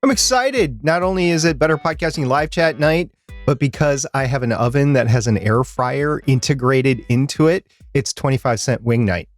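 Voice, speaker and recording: A man's voice: mid-range voice, normal speed, no echo, faint music behind. A man co-hosting a podcast in casual banter, talking in a chatty, rambling way. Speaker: podcast co-host.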